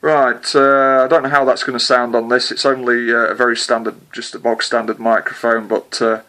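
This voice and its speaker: A man's voice: medium pitch, level tone, moderate pace, sharp tone. A man chatting hesitantly with a distant contact as a CB radio operator, with lots of filler sounds. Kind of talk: casual radio contact chat.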